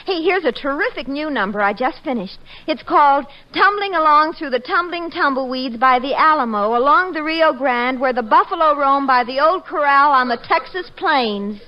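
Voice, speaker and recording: A woman's voice: high voice, animated, normal speed, few pauses. A woman playing a gushing, pushy, kooky song plugger in a 1940s radio comedy. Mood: eager, pitching. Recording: muffled, hissy 1940s radio recording.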